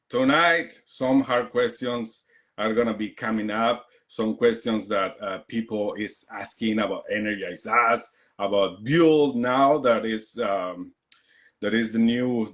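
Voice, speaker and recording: Halting male live-stream interviewer. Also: medium pitch, a bit bright. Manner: halting, repeats words, addresses viewers. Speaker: host.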